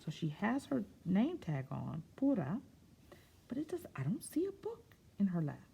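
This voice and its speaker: A woman reading a picture book aloud for children, stretching key words expressively and pausing for soft explanatory asides. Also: medium pitch, wildly swooping pitch, gravelly, slightly rough. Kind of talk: read-aloud story time with explanatory asides.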